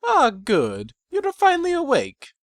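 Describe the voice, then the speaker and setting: A man's voice: extremely high pitch, wildly swooping pitch, unhurried, dry recording, clean studio sound, pitched above the performer's own voice, heavily acted. A man voicing an untrustworthy, suspiciously soothing stranger pony in a fan fiction reading. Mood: suspiciously pleased. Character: untrustworthy stranger pony hovering over a patient.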